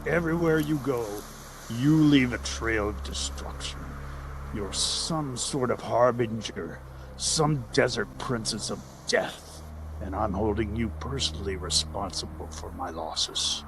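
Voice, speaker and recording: An actor playing a proud, cruel slave trader in an audio drama, vengeful and deliberate, with theatrical threats. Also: male voice, medium pitch, background noise, natural voice. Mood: accusing, angry. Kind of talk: audio drama villain acting.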